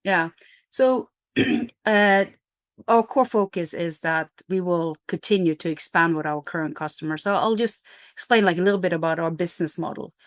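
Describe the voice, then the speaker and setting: A woman's voice: fairly low pitch, moderate pace, no room sound, clean studio sound. A middle-aged woman from Norway, a company CEO, explaining her business in an interview with energy, fillers and restarts.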